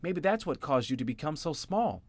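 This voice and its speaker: A man's voice: fairly high pitch, big pitch swings, moderate pace, a little mellow, dry recording, voice pushed up. A man voicing a chatty, cheerful middle bunny brother in a children's storybook. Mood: worried.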